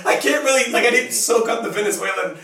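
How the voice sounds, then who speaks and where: A man's voice: very high-pitched, lively intonation, fast talker, vocal fry, bright, forceful tone, distant mic. A young man from Canada hosting a casual live interview show, chatty and laughing often, full of fillers. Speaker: host. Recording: roomy sound, mic set back.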